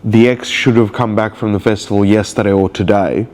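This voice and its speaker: A man's voice: fairly low pitch, steady tone, brisk, darkish tone. A man with a cold, sounding nasal, talking emotionally and rambling in a confessional video diary. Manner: rambling, emotional, searching for words. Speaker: vlogger.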